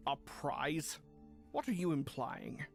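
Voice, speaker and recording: A man's voice: on the high side, takes it slowly, gravelly, faint music behind. A man voicing a proud, lovelorn chimera beast held prisoner in a cartoon, speaking in a wounded, earnest, dramatic way. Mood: disbelieving. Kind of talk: animated fan drama acting.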